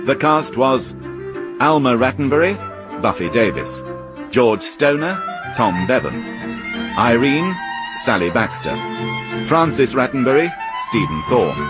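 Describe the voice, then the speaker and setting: A man working as a radio announcer, reading formal scripted credits and introductions over violin music. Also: mid-range voice. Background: prominent violin music.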